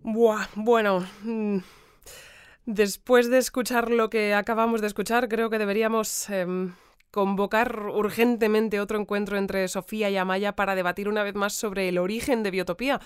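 An actress playing a hesitant live broadcast commentator in an audio drama. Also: female voice, mid-range voice, steady tone, moderate pace, smooth, dry recording, studio quality, natural voice. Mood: hesitant, excited.

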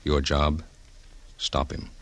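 Very deep, grave American male announcer. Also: monotone, slow pace, vocal fry. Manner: terse, grave, clipped delivery. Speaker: radio drama announcer. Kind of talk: 1950s radio crime drama narration. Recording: 1950s radio recording, loud brass music.

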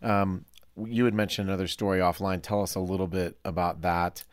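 Male podcast host, lowish voice, casual interviewing, dry close sound. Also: steady tone, fairly quick, no room sound.

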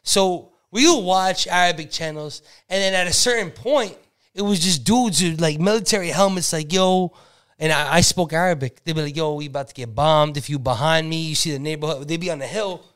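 A man, chatting loudly and profanely as a podcast guest, laughing often. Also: fairly high pitch, brisk, clean tone. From the Bronx, New York. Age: 20s. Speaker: guest rapper. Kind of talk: casual podcast chat.

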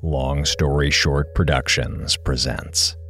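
A man announcing the titles of an audio drama in a formal, measured and solemn manner. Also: rumbling low, vocal fry, fairly bright tone. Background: plucked guitar music.

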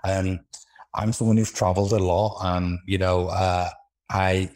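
A man's voice: deep voice, completely flat delivery, a little slow, darkish tone. A man with a Liverpool accent talking casually as an interview guest, feeling his way through his thoughts in a hesitant manner. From Liverpool, England.

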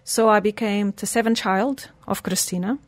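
A woman's voice: mid-range voice, steady tone, normal speed, no room sound. A woman, telling her life story earnestly as an interview guest. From Portugal. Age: 40s. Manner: earnest, storytelling, slightly broken English phrasing.

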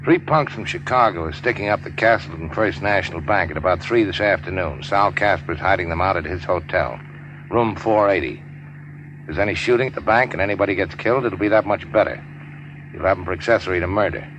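A deep-voiced, cynical tough guy of the rackets, clipped and dryly wry in the manner of 1940s radio crime drama. The sound is a muffled vintage broadcast with light noise. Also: a little fast, a bit bright. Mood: curt, commanding. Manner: terse, wry, hard-boiled. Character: cool, cynical, tough-talking racketeer working for a crime boss. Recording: dull, noisy 1940s radio recording.

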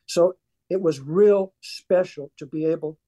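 A man's voice: fairly high pitch, measured pace, warm tone. An older man from South Louisiana with a Cajun accent, telling his story earnestly and emphatically as a guest in a radio interview. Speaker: author and former weightlifter, guest.

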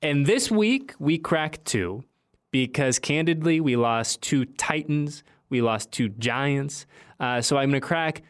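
A man's voice: mid-range voice, animated, takes it slowly, clean tone. A man hosting a sports podcast in a casual, chatty, enthusiastic way, repeating himself for emphasis.

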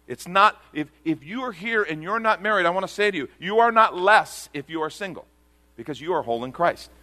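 A man's voice: mid-range voice, bright, forceful tone. A man pastor preaching a sermon to a congregation, earnest and repeating key phrases for emphasis.

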